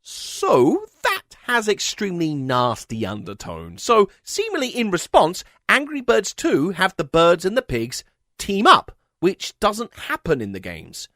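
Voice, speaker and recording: Animated, sardonic male video narrator. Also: high-pitched, a little slow, dry recording, studio quality. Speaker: video essay host. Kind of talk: scripted comedic video essay narration.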